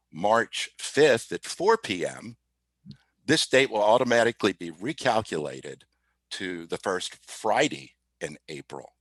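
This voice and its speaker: Guy with a lowish voice walking thru a tech demo, kinda halting, dry close sound. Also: bright, forceful tone, no echo. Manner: halting, explanatory. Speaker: podcast guest demonstrating software. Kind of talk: informal technical demo.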